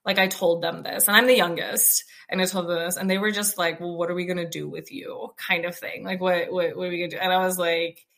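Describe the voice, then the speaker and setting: Young woman from the US, loud and outspoken, chatty personal storytelling with lots of fillers. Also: lowish voice, flat delivery, brisk, creaky, hardly any pauses. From United States. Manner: chatty, frequent fillers and restarts.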